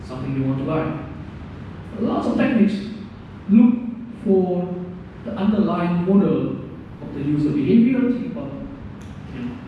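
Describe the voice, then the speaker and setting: A man's voice: sits high, unhurried. Man lecturing from afar in an echoey room. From Hungary. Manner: hesitant, repeats words, animated emphasis. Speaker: guest lecturer. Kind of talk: technical lecture. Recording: echoey hall, distant mic, dull sound, background noise.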